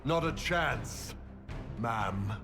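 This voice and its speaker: An actor playing a huge, gruff, good-humoured male mercenary warrior in a video game, laconic and easygoing. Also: medium pitch, animated, very slow. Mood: wry, battle-ready. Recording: loud music over the voice.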